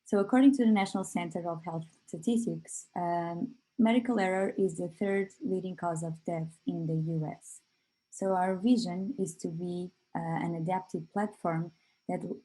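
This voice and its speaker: A woman giving an online webinar presentation over a video call, pausing often on filler sounds as she talks. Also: on the low side, warm tone, breathy edge, poor audio. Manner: hesitant, frequent filler pauses. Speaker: company presenter.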